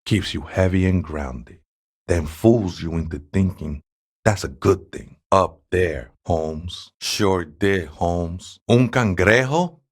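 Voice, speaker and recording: Deep, slow-talking Mexican street mystic. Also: male voice, animated, slightly rough, heavily acted. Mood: serene, reverent. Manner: laid-back, reverent street-slang mystic. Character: serene, devout Mexican ex-gang man living in church rafters. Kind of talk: video game character dialogue.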